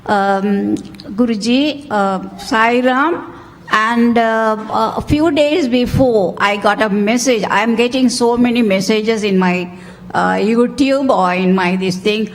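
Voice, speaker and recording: A woman from Sri Lanka, speaking as an audience member at a spiritual gathering in halting speech full of fillers. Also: fairly high pitch. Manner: halting, with many fillers and searched-for words. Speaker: audience member asking a question. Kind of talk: public question at a spiritual gathering. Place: temple hall.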